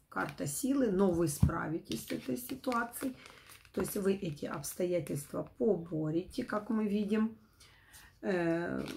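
A middle-aged woman with a not fully recovered voice, giving an informal tarot forecast to camera in a halting, rephrasing way. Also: lowish voice, vocal fry, a little mellow. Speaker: tarot reader, host. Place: small room at home, indoors.

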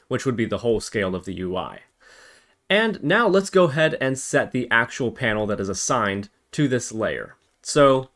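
Guy doing a coding tutorial, really animated pitch, talks through each step as he goes. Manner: explains step by step, thinking aloud. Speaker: tutorial host. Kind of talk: programming tutorial narration.

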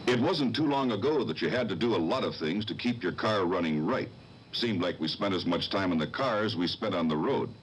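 A man's voice: low-pitched, animated, brisk. An older man giving a folksy scripted commercial address as a car company spokesman. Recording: faintly noisy 1970s TV tape.

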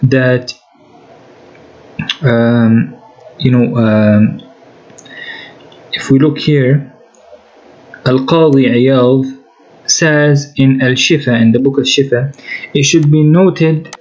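A man's voice: mid-range voice, steady tone, very slow, pauses often, a little mellow. Man explaining texts, muffled sound. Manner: explanatory, frequent "right?" tags and restarts. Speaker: religious commentator. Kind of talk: screen-share commentary reading sources.

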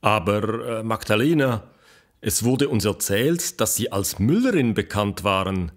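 A man's voice: on the low side, expressive, unhurried. A man playing an eager, curious investigator who interviews people in a scripted audio drama. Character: eager, curious male investigator questioning historical villagers.

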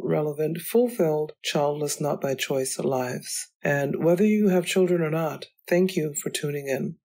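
A woman hosting her own podcast, talking alone in a chatty, rambling way, her voice still slightly hoarse from illness. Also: low-pitched, mellow. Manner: chatty, rambling, goes off on tangents. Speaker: podcast host. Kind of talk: solo podcast monologue. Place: home.

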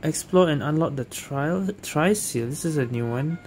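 A woman's voice: low-pitched, lively intonation, moderate pace, darkish tone. A woman giving casual gameplay commentary, thinking aloud in short reactive phrases. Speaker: video game streamer. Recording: game music behind the voice.

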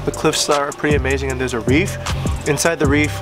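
A man in his late thirties hosting a casual travel vlog, talking to a handheld camera outdoors on a seaside cliff, animated and full of fillers. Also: on the high side, a little fast. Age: 30s. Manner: excitable, animated, filler-heavy. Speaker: vlog host. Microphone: action camera held at arm's length. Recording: music bed over outdoor camera audio.

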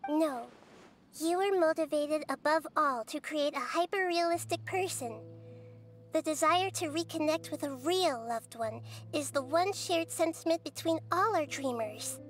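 Childlike goddess, extremely high voice, measured, thoughtful, knowing, anime video game. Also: female voice. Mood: calm, certain. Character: small, wise, calm childlike goddess.

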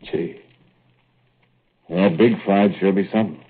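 A man's voice: low voice, slightly rough. An actor playing an easygoing small-town American working man in a 1940s radio drama, plain-spoken and folksy as he talks and narrates. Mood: casual, teasing. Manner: folksy, colloquial, unhurried storytelling. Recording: noisy, muffled old radio recording.

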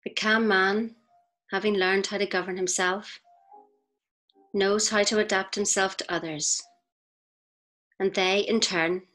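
A woman reads scripted inspirational narration in a measured, deliberate way, with pauses between phrases. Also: mid-range voice, fairly bright tone. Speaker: audiobook reader. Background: faint music.

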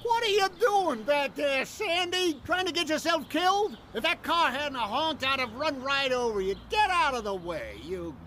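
A man's voice: very high voice, big pitch swings, normal speed, few pauses, background noise. An actor playing a harsh, contemptuous rural father barking at his child in a radio drama. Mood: angry, scornful.

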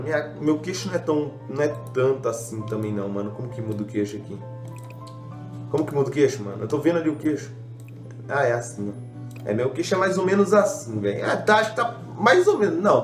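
A man's voice: medium pitch, reverberant. A young adult man giving casual, rambling gameplay commentary, full of slang and self-mocking jokes, a little congested from a cold. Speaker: gaming youtuber.

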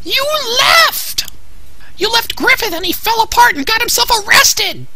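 A woman's voice: extremely high pitch, big pitch swings, a little slow, exaggerated voice. A woman playing a hot-tempered, impulsive young warrior commander in an anime parody, speaking emphatically. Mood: furious. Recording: clearly noisy track.

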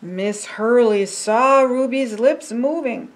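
An older woman, a pastor, reading a children's picture book aloud in a deliberate, expressive storytelling manner. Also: fairly high pitch, a little hiss or hum. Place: indoors by a fireplace.

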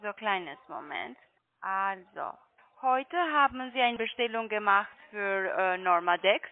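A woman's voice: mid-range voice, lively intonation, slow. A non-native woman with a foreign accent, speaking politely and hesitantly as a call centre sales agent on a tinny phone line. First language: not German.

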